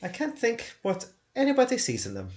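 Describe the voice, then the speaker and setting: A man's voice: very high voice, huge pitch swings, fairly quick, a little mellow, pitched above the performer's own voice, exaggerated voice. A man voicing a fussy, respectable male hobbit who is polite but prickly. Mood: prim.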